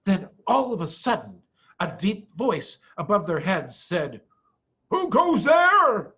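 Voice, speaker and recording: Animated male storyteller, dull lo-fi recording. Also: a little high, unhurried. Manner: expressive, emphatic storyteller. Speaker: school head reading a story aloud. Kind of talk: animated children's storybook reading.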